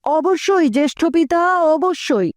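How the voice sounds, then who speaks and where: A woman's voice: high-pitched, animated, normal speed, a little mellow, no room sound, clean studio sound. A woman playing a devoted, self-assured young prince in a fairy-tale cartoon, with formal, earnest courtly speech.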